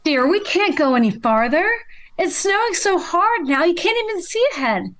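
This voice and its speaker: Woman playing a high-voiced, worried radio mom. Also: sing-song, normal speed, a bit bright, slight background noise, heavily acted. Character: gentle, practical, worried wife and mother. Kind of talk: 1950s family radio comedy acting.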